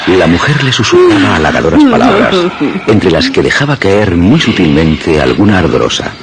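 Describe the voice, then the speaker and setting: A man narrating a scripted radio drama in a literary, dramatic way with measured phrasing. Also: sits low, wildly swooping pitch, noisy background. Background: music.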